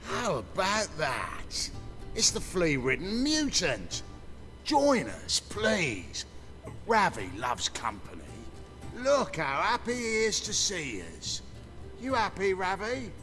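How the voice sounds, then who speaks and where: Sneering, wildly swooping thug. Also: male voice, sits high, huge pitch swings, slow pace, sharp tone, faint music behind, heavily acted. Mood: mocking glee. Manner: mocking, sing-song, swooping. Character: sneering, theatrical male thug, gleefully menacing. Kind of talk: video game voice acting.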